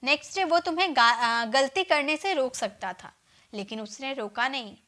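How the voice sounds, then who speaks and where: An Indian woman teaching an English grammar lesson, explaining in Hindi and dictating example sentences slowly word by word. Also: high-pitched, pushed and bright.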